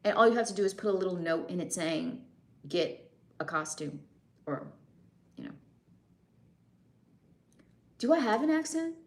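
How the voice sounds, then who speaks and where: A woman's voice: fairly low pitch, animated, normal speed, creaky, pauses often. Chatty young American woman streamer, talking casually and laughing easily. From United States. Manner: chatty, answers chat comments, laughs often. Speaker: live streamer. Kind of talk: casual live-stream chat.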